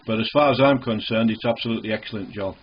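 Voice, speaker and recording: Male amateur radio operator, casual and reassuring, slightly husky, dull lo-fi sound. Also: fairly low pitch, quick pace. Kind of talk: casual ham radio contact with call signs. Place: small indoor radio shack. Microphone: small camera near the radio's speaker. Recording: dull, muffled camcorder recording in a radio shack.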